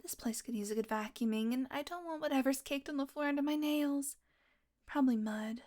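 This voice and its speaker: A woman playing a sardonic, teasing, predatory vampire with a soft spot, in an intimate, sweary audio roleplay monologue that often drops to a murmur. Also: high-pitched, a little fast, natural voice. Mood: irritated, snarky.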